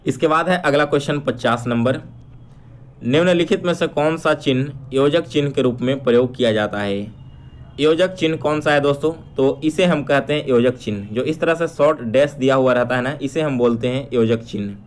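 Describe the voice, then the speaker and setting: A man's voice: medium pitch, normal speed. A man teaching an exam-prep lesson, addressing learners directly and restating each question before explaining it.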